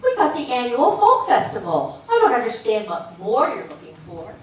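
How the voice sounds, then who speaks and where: A woman's voice: a little high, wildly swooping pitch, normal speed, a little hiss or hum. A woman playing a loyal, exasperated secretary, prim and reproving in a stage reading. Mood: puzzled. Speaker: amateur stage actor. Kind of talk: readers theater stage performance. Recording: echoey hall, distant mic.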